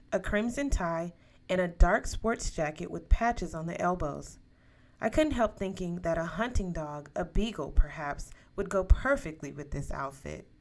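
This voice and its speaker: A woman reading a novel aloud as a scripted narration for young listeners. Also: fairly low pitch. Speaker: audiobook reader.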